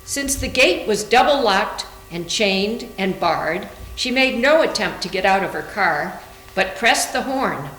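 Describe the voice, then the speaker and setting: A woman's voice: medium pitch, animated, a little slow, a bit bright. A woman reading scripted literary narration aloud for a readers theater. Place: indoor room, live library reading. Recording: echoey room with faint hum.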